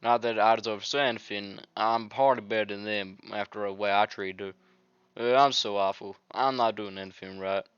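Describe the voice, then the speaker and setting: A man's voice: fairly low pitch, fairly even intonation, a little fast, fairly bright tone, clean tone, dry recording, muffled recording, close to an everyday voice. A man voicing an earnest, self-critical schoolgirl club president in a fretful, hesitant way for a video game. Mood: self-reproachful. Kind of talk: video game fan voice-over.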